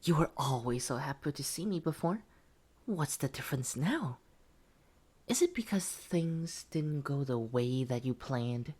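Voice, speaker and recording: A woman playing a betrayed test subject in a horror audio roleplay, taunting and pleading intimately, with a husky voice and whispered phrases. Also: extremely low pitch, expressive, unhurried, darkish tone, full character voice. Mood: taunting concern. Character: betrayed test subject, wounded, admiring, menacingly sweet young woman.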